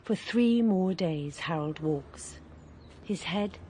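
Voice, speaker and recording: A woman narrating a radio drama in a calm, measured way. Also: lowish voice, slightly rough. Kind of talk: scripted literary narration.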